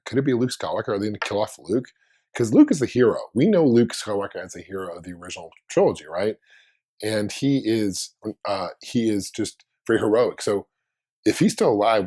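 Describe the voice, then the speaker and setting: Hoarse low-voiced man musing casually. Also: lively intonation, a little fast, a little mellow. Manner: rambling, thinking aloud with many restarts. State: hoarse, under the weather. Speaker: fan video host. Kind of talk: casual fan commentary.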